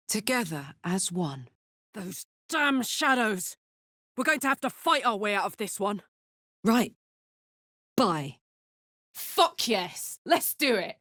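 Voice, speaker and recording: A woman's voice: mid-range voice, a bit bright, no echo, clean studio sound. An actress playing a brash, big-hearted tiefling barbarian woman in a video game, blunt and swaggering in manner. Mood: fired up, eager. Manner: blunt, slangy, swearing, swaggering.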